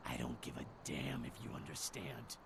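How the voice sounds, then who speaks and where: Gravelly, bitter, vengeful vigilante. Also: male voice, rumbling low, big pitch swings, normal speed, noisy background, full character voice. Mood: bitter rage. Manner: defiant, seething, intense. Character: bitter, vengeful father turned ruthless vigilante. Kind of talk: dramatic video game voice acting.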